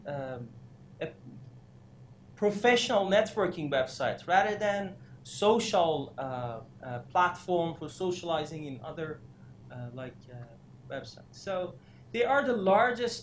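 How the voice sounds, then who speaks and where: A man raised in Iran giving a career workshop lecture, halting with many fillers and restarts, breaking into animated asides. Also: on the high side. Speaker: career development instructor. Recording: echoey room, faint noise.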